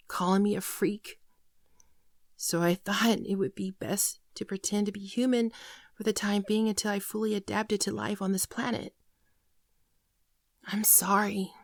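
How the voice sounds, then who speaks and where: A timid female shapeshifting alien , acted by a woman, pleads softly in an intimate ASMR roleplay. The delivery is halting, tearful and apologetic, often falling into a whisper. The sound is dry and close, with a mid-range pitch. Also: no echo, close to an everyday voice. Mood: desperate, pleading. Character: frightened, apologetic female shapeshifting alien posing as human girlfriend.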